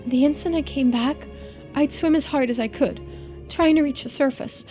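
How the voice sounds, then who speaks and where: A woman's voice: high-pitched, moderate pace, mellow, faint music behind, close to an everyday voice. A woman voicing a girlish, earnest and resigned immortal character in a visual novel. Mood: distressed recollection.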